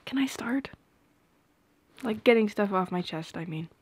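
Soft, slow-talking young woman, ASMR roleplay. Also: medium pitch, expressive, husky. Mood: gentle, confiding. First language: Polish. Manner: soft-spoken, hesitant, often dropping into whispers. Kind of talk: intimate ASMR roleplay acting. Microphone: close microphone.

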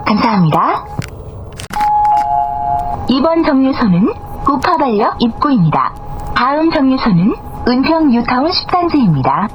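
A high-voiced woman reads formal transit announcements with a lively lilt. She enunciates each phrase like a scripted stop call, over clearly noisy audio. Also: a little slow, pauses often, lo-fi sound. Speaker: bus announcer.

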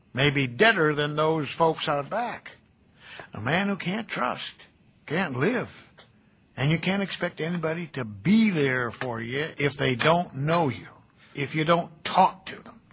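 An actor playing a kindly, folksy older cemetery caretaker in an audio drama, chatty and gentle in a homespun, nostalgic way. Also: male voice, fairly high pitch, expressive, a little slow, husky, full character voice. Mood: earnest. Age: middle-aged. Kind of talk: naturalistic radio drama dialogue.

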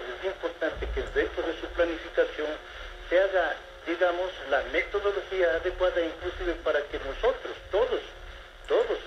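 A man in his seventies calls in, speaking politely and formally but wandering through his point with frequent restarts. His voice sits a little high, and the line sounds muffled and roomy, with a noisy background. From Ecuador. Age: 70s. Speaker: caller. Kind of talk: phone-in complaint to a radio show. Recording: echoey, muffled phone-in with background noise.

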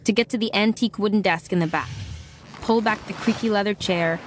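An actress voicing a wry, troubled teenage girl who narrates an audio drama in a confiding, measured way. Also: medium pitch, noisy background, close to an everyday voice. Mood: confiding, brooding.

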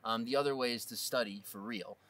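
Bright animated male storyteller outdoors. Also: medium pitch, fairly quick. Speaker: co-host, real estate agent. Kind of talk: casual storytelling. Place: outdoors on a hillside terrace.

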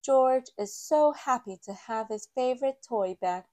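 A woman reading a children's story aloud as a storyteller, with careful, deliberate phrasing. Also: fairly high pitch, a little mellow. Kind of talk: children's read-aloud narration.

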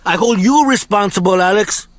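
Man as high-voiced irritated drug lord. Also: moderate pace, close to an everyday voice. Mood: angry, accusing. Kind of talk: video game crime drama acting.

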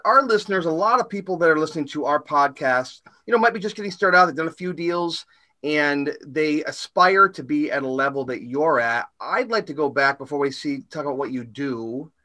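Man, 40s, podcast co-host, energetic, rambling, fast talker, bright tone. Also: fairly high pitch. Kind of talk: casual interview hosting.

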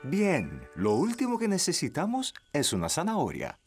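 A man's voice: on the high side, huge pitch swings, normal speed, a little mellow, soft background music. A man playing a patient, encouraging young father in a children's cartoon dub.

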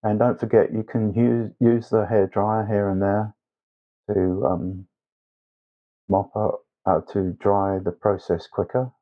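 Low-pitched man, unhurried painting tutor. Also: flat delivery, phone-quality sound. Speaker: watercolour tutor. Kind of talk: instructional painting tutorial narration.

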